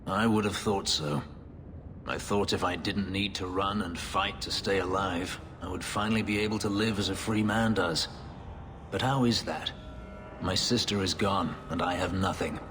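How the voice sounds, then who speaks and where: An actor playing a brooding, bitter elf warrior who was once a slave, speaking in a grave, measured way. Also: male voice, steady tone, noisy background, lightly acted. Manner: low, grave, measured delivery. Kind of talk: video game voice acting. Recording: long reverb under game music.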